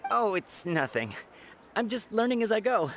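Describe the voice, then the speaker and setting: A man voicing a friendly, bumbling but earnest amateur fortune-teller in a video game, halting and self-effacing. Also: high-pitched, very animated, a bit bright, slight background noise. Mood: sheepish, earnest.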